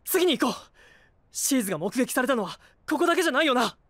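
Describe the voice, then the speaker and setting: A man's voice: very high-pitched, big pitch swings, fast talker, bright, forceful tone, no echo, heavily acted. An actor playing an earnest, driven young male knight in an anime voice drama. He talks bluntly and impatiently. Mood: troubled.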